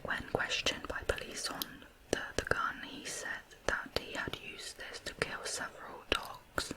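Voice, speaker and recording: A person narrating true crime in ASMR style, whispering softly with deliberate, unhurried phrasing. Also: rough recording.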